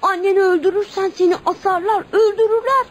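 An actress playing a loyal, tender-hearted young child in a radio play, earnest and emotional. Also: female voice, very high voice, fairly even intonation, brisk. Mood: earnest, pleading. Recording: noisy radio drama recording.

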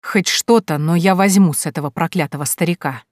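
A woman's voice: sits low, a little fast, no room sound, close to an everyday voice. Spiteful young man, curt, sneering, scheming, read by a woman narrator. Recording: dry close recording.

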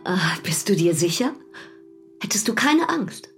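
A woman's voice: mid-range voice, sing-song, measured pace, faint music behind. An actress playing a passionate, insistent married woman, speaking intimately and questioningly in a German radio drama. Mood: insistent, probing.

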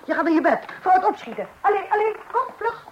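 A stern, exasperated mother, high and lively in pitch, scolding sharply. She is a radio play character. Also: quick pace, muffled recording, very noisy.

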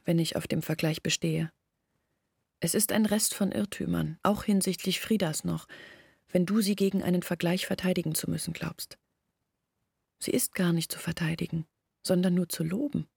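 An actress playing an earnest, bitter village woman in a German radio drama, reasoning insistently and intimately in a husky, creaky voice. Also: fairly low pitch, a little mellow, dry recording, studio quality.

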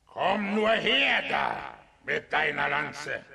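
An actor playing a menacing, boastful giant stone knight in a children's radio play, with a gravelly, forceful voice and a slow, taunting delivery. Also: male voice, a little high, animated, exaggerated voice. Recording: cavernous long reverb.

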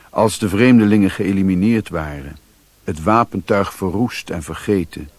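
A man's voice: low-pitched, a little mellow, husky, no echo. A man narrating a radio play from a script, as its storyteller.

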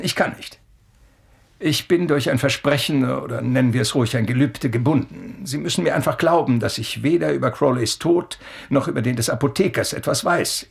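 Courtly, husky old professor. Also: male voice, medium pitch, heavily acted. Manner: courtly, deliberate, slightly hesitant. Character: elderly eccentric male professor, courteous, evasive, morphine addict. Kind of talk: German radio play acting.

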